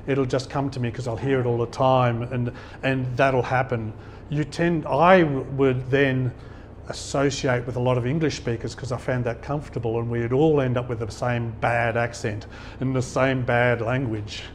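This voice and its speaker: A man's voice: medium pitch, a little hiss or hum. A middle-aged man giving an informal, conversational talk to an audience in a function hall, telling anecdotes and chuckling at his own stories. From Australia. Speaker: guest speaker at a business networking event.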